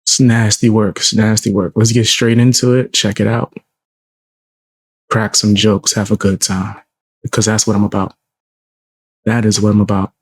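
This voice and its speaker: A man with a naturally low, deep voice chats through a live stream in relaxed, slangy asides. His voice sounds close and dry, with no room echo around it. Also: normal speed, long pauses. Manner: laid-back, slangy, chuckling asides. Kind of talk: casual reaction commentary.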